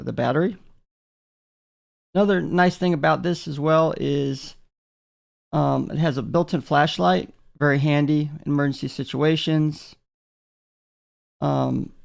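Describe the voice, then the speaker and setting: A man giving a casual, unscripted product demonstration in a gravelly voice, halting often with filler pauses. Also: a little high, a little mellow. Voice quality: gravelly, creaky. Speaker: product reviewer.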